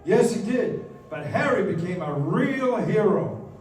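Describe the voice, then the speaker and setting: A man playing a senior angel who patiently narrates a life story in a measured, explanatory way in a radio play. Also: high-pitched, big pitch swings, a little mellow. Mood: storytelling. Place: live stage in a dance hall. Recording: echoey dance hall, distant, faint music.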